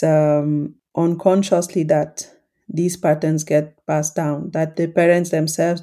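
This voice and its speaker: A woman with a low voice talks calmly and thoughtfully to her podcast listeners. She keeps her tone warm and mellow and her pitch even, with little rise or fall. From Cameroon. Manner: calm, reflective, explanatory. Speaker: podcast host and coach. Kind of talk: solo podcast talk.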